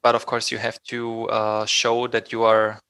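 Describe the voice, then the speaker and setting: A man's voice: medium pitch, monotone, measured pace, sharp tone, clean tone. A man with a German accent, a native German speaker chatting casually as an interview guest and sometimes searching for English words. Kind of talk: casual chat.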